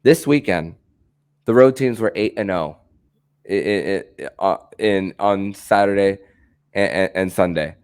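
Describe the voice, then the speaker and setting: A man hosting a sports podcast, with a halting delivery that often stammers and repeats words. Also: sits low, a little mellow, clean tone. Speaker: podcast host.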